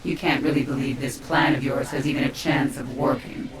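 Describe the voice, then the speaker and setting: A woman's voice: rumbling low, steady tone, a little fast, far from the mic, over a noisy crowd. An actress voicing a cold, taunting alien queen who speaks in a mocking whisper, in a game cutscene. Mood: scornful. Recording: echoey with loud ambient noise.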